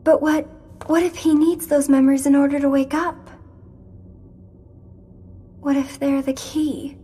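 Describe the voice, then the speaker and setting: An actress playing a gentle, troubled young witch girl in a video game drama, speaking in an anxious, hesitant way. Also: sits high, level tone, a little slow. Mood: worried.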